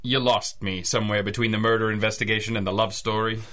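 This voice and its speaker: A man acting as a hard-boiled detective with a low, forceful, cutting voice. He is weary and sardonic, tossing off wisecracks deadpan in the manner of an old-time radio drama. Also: quick pace, close to an everyday voice. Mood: dry.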